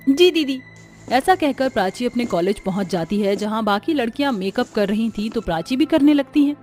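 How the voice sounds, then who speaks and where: A woman's voice: on the high side, brisk, a little mellow, soft background music. A woman narrating a scripted moral story for children.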